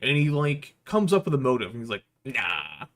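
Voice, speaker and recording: A man's voice: fairly high pitch, animated, fast. A man livestreaming with slangy, playful, rambling casual chat to his viewers. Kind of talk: casual livestream chat, reading visual novel aloud.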